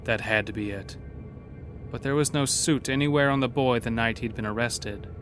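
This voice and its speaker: A man's voice: medium pitch, moderate pace, fairly bright tone, faint music behind. A man narrating a scripted horror story as the narrator of an audio drama.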